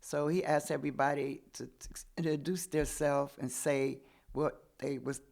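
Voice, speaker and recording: A woman's voice: low voice, fairly even intonation, normal speed, creaky, darkish tone. A woman in her seventies, a community volunteer chatting casually as a guest and retelling what neighbors said to her. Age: 70s. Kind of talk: casual storytelling.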